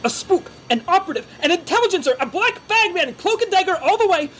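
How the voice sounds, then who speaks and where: An actress playing a fussy, exasperated secret agent in a comedic audio drama, indignant and emphatic. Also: female voice, extremely high pitch, very animated, quick pace, a bit bright, full character voice. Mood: insistent. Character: fussy, exasperated timid sibling claiming to be spy.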